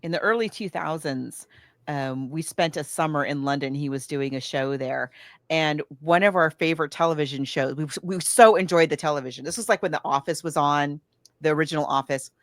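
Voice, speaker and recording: A woman's voice: deep voice, brisk, no echo, studio quality. An American woman co-hosting a podcast, chatting casually as she retells a film and slips into acting out lines. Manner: chatty, acts out quoted lines. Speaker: podcast co-host.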